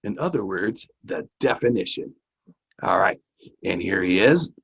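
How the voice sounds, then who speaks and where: A man's voice: fairly low pitch, lively intonation, unhurried, creaky, very noisy. A man presenting a lesson as a teacher-host, chatty, folksy and playful, often repeating himself. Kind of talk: casual, chatty educational presenting.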